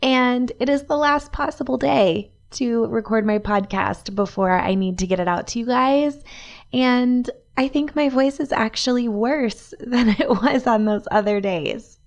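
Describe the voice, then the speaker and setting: A woman hosting her own podcast, her voice hoarse from a cold, chatting in an upbeat way and laughing often. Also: fairly high pitch, measured pace. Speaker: podcast host, life and business coach. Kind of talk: solo podcast talk.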